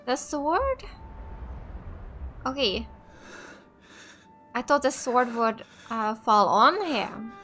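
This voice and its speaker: A woman from Finland with Finnish as her first language hosts a casual playthrough. Her voice is high and bright, and she talks at a slow pace, thinking aloud. Light music plays behind her. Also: animated. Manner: reacts aloud, thinks out loud. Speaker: gaming video host. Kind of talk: casual let's play commentary. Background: game music.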